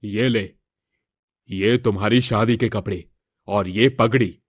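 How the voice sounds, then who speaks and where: A man's voice: lowish voice, steady tone, takes it slowly, breathy edge. A man voicing a domineering, scornful older village headman and father in a moral-story cartoon, with a scolding, haughty manner. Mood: stern, commanding.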